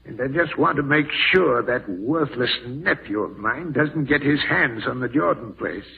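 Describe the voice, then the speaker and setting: Gravelly, husky, stubborn old farm owner. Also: male voice, mid-range voice, expressive, normal speed, narrow, tinny audio, heavily acted. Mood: grave, bitter. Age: older. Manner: blunt, commanding, crotchety. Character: stubborn, gruff, ailing old farm owner fearing burial alive. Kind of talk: old-time radio drama acting. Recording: scratchy band-limited old radio recording.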